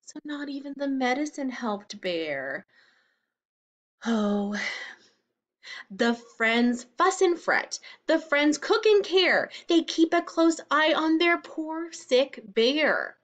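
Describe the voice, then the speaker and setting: A woman reads to young children in the manner of a teacher. Her voice sits a little high and moves widely in pitch. She goes slowly, addressing the child directly in simple, expressive phrases. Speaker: teacher reading aloud to children. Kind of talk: children's story read-aloud with simple explanations.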